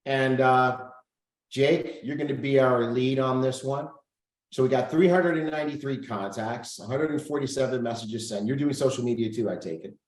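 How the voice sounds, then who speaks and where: A man's voice: medium pitch, normal speed, reverberant, rough recording. A man hosting a casual group coaching call over video, upbeat, thinking aloud and reading out figures. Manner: upbeat, reads out numbers, thinks aloud while clicking.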